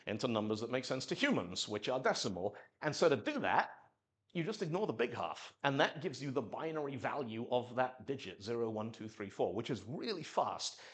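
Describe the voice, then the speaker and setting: A man from Zimbabwe giving a live technical talk at a meetup, telling stories and acting out quotes in little voices. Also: medium pitch. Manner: animated storytelling, acts out quotes in voices. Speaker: conference speaker.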